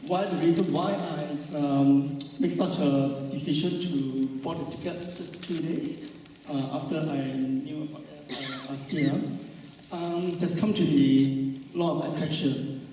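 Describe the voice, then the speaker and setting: A man speaking on a panel, hesitant with many pauses and ums. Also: fairly high pitch, steady tone, dark tone, a little hiss or hum. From Malaysia. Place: large event hall. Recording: echoey hall, distant mic.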